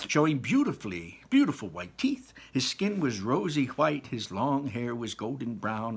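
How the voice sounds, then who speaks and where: A man's voice: mid-range voice, creaky, slight background noise. A man reading a children's story aloud with dramatic emphasis and a teacherly manner. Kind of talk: expressive children's story narration.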